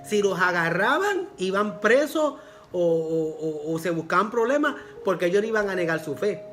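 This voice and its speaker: A man's voice: sits high, expressive, normal speed, a bit bright, faint music behind. A man with a Puerto Rican accent, preaching a sermon as pastor and repeating phrases for emphasis. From Puerto Rico.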